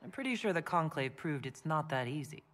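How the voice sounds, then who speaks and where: Composed warrior leader, measured and direct. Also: female voice, low-pitched, animated, fairly bright tone. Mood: calm, firm. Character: composed, questioning female horned warrior leader. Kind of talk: video game voice acting.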